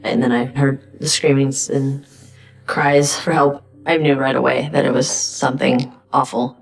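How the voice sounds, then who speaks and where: Low, gravelly woman recounting events. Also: little pitch movement, unhurried, soft background music. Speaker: crime survivor interviewee. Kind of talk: recounting events in documentary interview.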